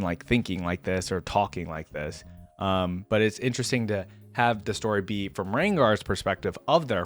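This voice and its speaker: A man hosting a reaction video in a casual, conversational way, thinking aloud and sometimes reading text out. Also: lowish voice. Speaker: host of a game reaction video.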